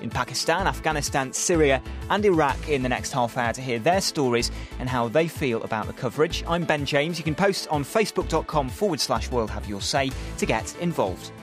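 A man hosting a live radio talk show, speaking briskly and conversationally as he reads listener messages and links segments. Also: mid-range voice, animated, faint music behind. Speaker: radio host. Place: radio studio.

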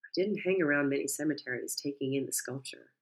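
A woman calmly narrating a first-person story. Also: low voice, quick pace, rough recording.

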